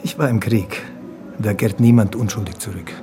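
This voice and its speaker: An actor playing a haunted war refugee, making a grave, halting confession in a radio drama. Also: male voice, on the low side, natural voice. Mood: weary, grateful. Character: haunted Bosnian war refugee confessing, former jazz trumpeter. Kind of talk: German radio drama acting. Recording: music behind the voice.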